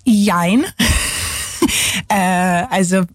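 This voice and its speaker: A woman from England, speaking German as a second language with an English accent, talks haltingly in a casual interview, searching for words and slipping in English ones. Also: lowish voice, steady tone, no echo. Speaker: guest, single mother.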